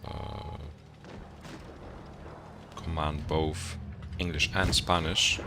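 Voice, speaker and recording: A man's voice: very deep voice, steady tone, extremely slow, background noise. A young man from Poland with a Polish accent, a university student whose first language is Polish. He chats casually with viewers on a gaming livestream, greeting them and thinking aloud as he searches for words. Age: young adult. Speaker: gaming streamer. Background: game music.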